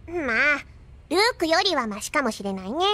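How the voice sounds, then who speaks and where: A woman's voice: high voice, big pitch swings, a little fast, a bit bright. A woman voicing a snide, flippant young girl in a Japanese anime audio drama. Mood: mocking. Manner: mocking, flippant.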